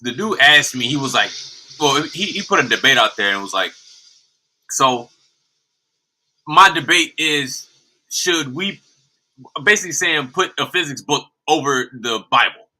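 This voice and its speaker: A man calling in to a casual chat, exasperated and halting as he talks. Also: mid-range voice, lively intonation, sharp tone, low-quality recording.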